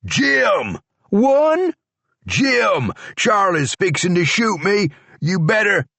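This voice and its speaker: A jailed horse thief who drags out every word in a low, unhurried country drawl, as a male narrator plays him. He is superstitious and preachy, and his voice sits low and dry. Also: very animated, no echo, exaggerated voice. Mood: shouting. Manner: slow, drawling rural talk.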